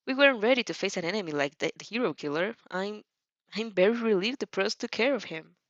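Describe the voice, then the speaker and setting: A woman's voice: mid-range voice, fast, dry recording, lo-fi sound, lightly acted. A woman voicing a composed, lightly amused teenage girl who studies to be a hero, in an amateur audio drama.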